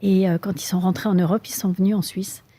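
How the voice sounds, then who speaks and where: A middle-aged woman from Switzerland with a Swiss French accent, answering interview questions thoughtfully, with frequent pauses and fillers. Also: medium pitch, flat delivery, mellow, breathy edge. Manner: thoughtful, hesitant with many fillers. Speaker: guest, mountaineer.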